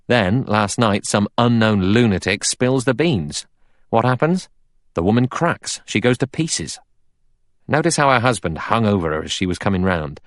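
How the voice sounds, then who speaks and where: A man's voice: fairly low pitch, lively intonation, normal speed, no echo, studio quality, pitched above the performer's own voice, lightly acted. A man voicing a blunt, aggressive, suspicious detective in an audiobook, arguing in pushy, colloquial bursts. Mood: insistent. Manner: pushy, colloquial, emphatic argument. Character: blunt, aggressive middle-aged male detective, suspicious and cocksure.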